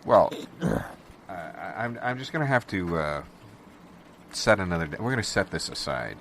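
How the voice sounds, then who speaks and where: A man's voice: low voice, big pitch swings, moderate pace, gravelly, a bit bright, a little hiss or hum, heavily acted. A man playing an exasperated, businesslike bankruptcy official in improvised comedy, dry and flustered, often stammering. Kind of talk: improvised audio comedy sketch.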